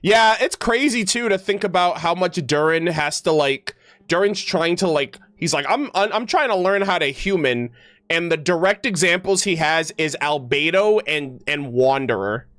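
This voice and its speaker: A man's voice: on the high side, expressive, moderate pace, fairly bright tone, no room sound. A man streaming a game and reacting casually, slangy and exclamatory, chatting to his viewers.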